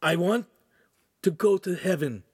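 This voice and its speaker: A man's voice: high-pitched, very animated, a little slow, dry recording, studio quality, pitched above the performer's own voice, heavily acted. A man voicing a humble, eager reformed street youth in an audiobook, pleading and deferential. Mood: solemn.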